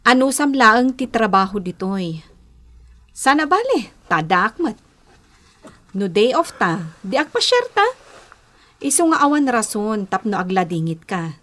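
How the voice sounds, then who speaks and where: A woman's voice: medium pitch, sing-song, measured pace, pauses often, a bit bright. A Filipino woman playing a practical, encouraging older sister who gives firm advice in a radio drama. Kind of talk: Ilocano radio drama acting.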